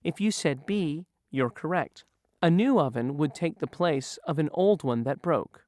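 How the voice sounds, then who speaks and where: Lively quiz reader going through review questions, bouncy intonation, dry close sound. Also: mid-range voice, unhurried, no room sound. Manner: poses a choice, then confirms the right answer. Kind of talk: lesson quiz narration.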